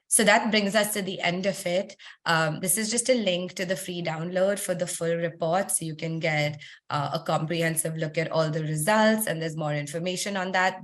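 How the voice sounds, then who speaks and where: Woman presenting, low-ish slightly hoarse voice. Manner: conversational, with frequent ums and throat clearing. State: losing her voice. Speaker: webinar presenter. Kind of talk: presenting survey results in a webinar. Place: online webinar.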